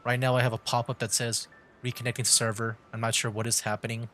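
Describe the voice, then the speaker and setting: A man's voice: medium pitch, flat delivery, fast, a bit bright, faint music behind. A man giving casual, rambling commentary on a game livestream, often repeating himself as he chats. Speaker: livestreaming VTuber gamer.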